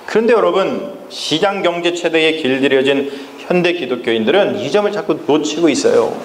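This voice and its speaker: A male pastor preaching a Sunday sermon in a church, speaking emphatically and often turning to address the congregation directly, slowing down to stress key phrases. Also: on the high side, expressive, a little hiss or hum. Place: church sanctuary. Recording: echoey church with faint ambience.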